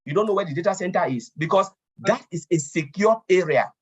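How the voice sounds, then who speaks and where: A man explaining technical matters quickly as a webinar panel guest over a video call. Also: sits high, expressive, breathy edge. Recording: dull lo-fi call audio.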